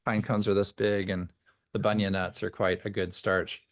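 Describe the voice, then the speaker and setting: An older man chatting casually in a video meeting, halting and repeating words as he goes. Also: on the low side, level tone. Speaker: participant in a group video meeting. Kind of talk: casual chat.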